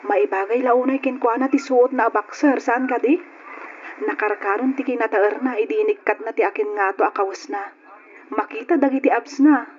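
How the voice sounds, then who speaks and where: A woman's voice: fairly high pitch, steady tone, moderate pace, a little hiss or hum, close to an everyday voice. An actress playing a coy, studious female college student in an Ilocano radio drama, speaking with an Ilocano accent. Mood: smitten, eager. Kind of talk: old radio drama acting. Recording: muffled lo-fi radio drama recording.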